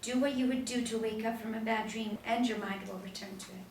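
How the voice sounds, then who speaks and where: A woman acting a calm, instructive guide in a table read of a TV drama, speaking in a measured way. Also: on the high side, natural voice. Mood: earnest guidance. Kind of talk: table read of a TV pilot script. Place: small indoor room. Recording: roomy, distant mic, noisy ambience.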